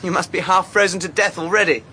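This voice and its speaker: A man's voice: high voice, fast, sharp tone. An eager British schoolboy in a radio mystery. He is polite and boyish, a well-mannered prankster. There is faint noise behind the voice. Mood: sheepish. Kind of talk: radio drama acting.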